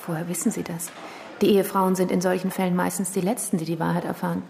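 A woman's voice: lowish voice, little pitch movement, fast talker, creaky, darkish tone. An actress playing a sceptical female prosecutor in a radio drama, putting direct, probing questions.

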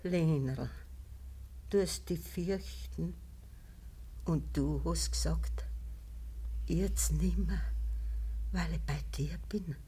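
An actress playing an ailing old peasant mother in a radio play, with a breathy, frail voice, a Bavarian accent and a halting, pleading delivery. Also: sits low, dark tone, full character voice. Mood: pleading, tender. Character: gravely ill old peasant mother, pleading, gentle, pious. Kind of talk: naturalistic dialect radio drama acting.

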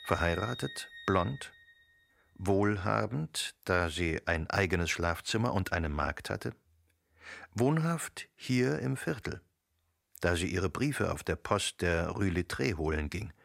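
Radio drama narrator, male: lowish and gravelly, deliberate dramatic delivery with weighted pauses, dry studio sound. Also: lively intonation, a bit bright, no echo.

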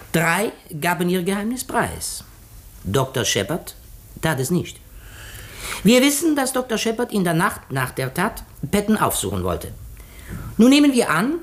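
A shrewd, theatrical detective in a 1950s radio drama. His light male voice swings widely in pitch as he probes, deliberate and sure of himself. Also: exaggerated voice. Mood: dramatic, accusing. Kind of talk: 1950s German radio play acting.

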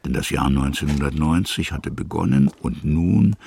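Very deep, gravelly storyteller. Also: male voice, lively intonation, background noise, full character voice. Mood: recollecting. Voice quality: gravelly, husky. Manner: measured, musing, fragmentary phrasing. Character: slow, musing male storyteller. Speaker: narrator. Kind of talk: literary radio drama narration in present tense.

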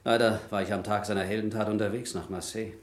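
An actor playing a brazen, mock-jovial criminal on the run with a mocking, menacing edge in a vintage radio crime drama. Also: male voice, lowish voice, steady tone, fast, lightly acted. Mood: falsely hearty. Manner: mocking, falsely hearty, chuckling. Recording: echoey vintage radio play studio.

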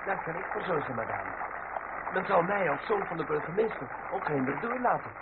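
An actor playing a courteous young gentleman, gallant and formal, in a period radio drama. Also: a little high, very animated, brisk. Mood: gallant, eager. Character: courteous, eager young gentleman, mayor's son. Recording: noisy, narrow old radio recording.

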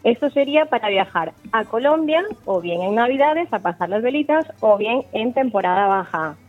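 A woman's voice: fairly high pitch, animated, normal speed, soft background music. A woman with an Ecuadorian accent, a travel agent explaining offers conversationally in a radio interview. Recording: tinny phone line.

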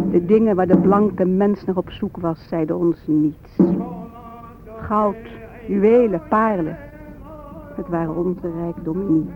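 An actor playing a solemn Native storyteller who recites his people's memory in measured, incantatory verse for a radio play. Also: high voice. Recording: tinny phone-like audio under prominent music.

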